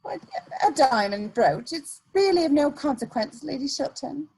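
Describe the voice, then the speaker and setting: A woman playing a sly, worldly Victorian society lady, arch and smoothly polite, in a dramatic comedy reading. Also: high-pitched, animated, normal speed, darkish tone, rough recording.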